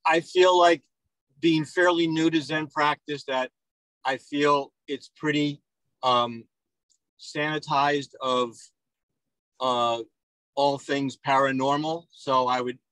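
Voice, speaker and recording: Halting man with a dry, close sound. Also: medium pitch, fairly even intonation, a bit bright, no room sound, rough recording. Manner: hesitant, halting with repeated word starts. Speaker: audience member asking questions. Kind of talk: spontaneous question in a group discussion. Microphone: video call.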